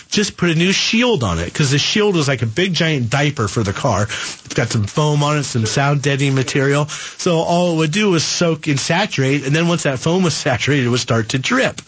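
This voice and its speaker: A man hosting a call-in car repair radio show, talking casually through a rough, coughing voice as he explains leaks to listeners. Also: medium pitch. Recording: dull radio broadcast sound.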